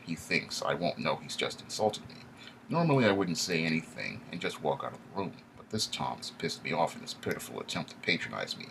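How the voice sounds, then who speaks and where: Deep-voiced man narrating a story. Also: gravelly, slight background noise, rough recording. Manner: steady, wry first-person storytelling. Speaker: audiobook narrator. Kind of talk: first-person audiobook narration.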